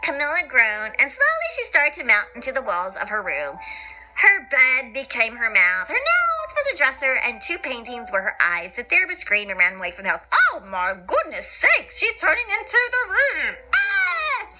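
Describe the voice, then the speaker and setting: High, very animated woman storyteller. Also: normal speed, rough recording. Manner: playful, improvised asides and repetition. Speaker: storyteller, podcast host. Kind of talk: theatrical children's picture book read-aloud. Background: music.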